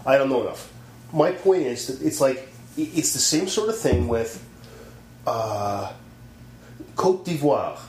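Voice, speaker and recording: Man, opinionated co-host, lively swearing rant, roomy echo. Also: medium pitch, a little slow, long pauses. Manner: animated ranting, swears freely. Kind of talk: casual opinionated chat. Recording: roomy echo, faint hum.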